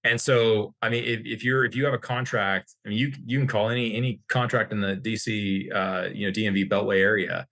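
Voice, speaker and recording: A man's voice: on the low side, fairly even intonation, brisk, hardly any pauses, a bit bright, no room sound. An American man speaking as an expert guest in an informal discussion, wordy and often rephrasing himself mid-sentence. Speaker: interview guest, healthcare industry executive.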